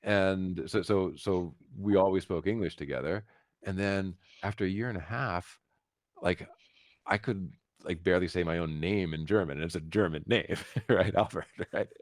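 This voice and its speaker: An American man telling his story casually as an interview guest, halting and repeating words with emphatic asides. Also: low voice, no room sound, clean studio sound. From United States, Philadelphia. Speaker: interview guest, concert pianist.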